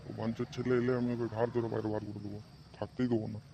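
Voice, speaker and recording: A man voicing a grumpy, stingy, middle-aged cartoon father who gruffly scolds and curses. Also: mid-range voice, flat delivery, racing, dark tone, natural voice. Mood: grumbling. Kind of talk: amateur comic cartoon dubbing. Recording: muffled, faint background noise.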